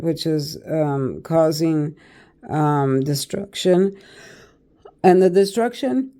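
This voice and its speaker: An older woman speaks in a raspy, hoarse voice with a mellow, dark tone. She is a tarot reader talking casually to her viewers, preachy and emphatic, and she keeps checking in with "okay" and "all right.". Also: sits low. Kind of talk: casual spiritual monologue to camera.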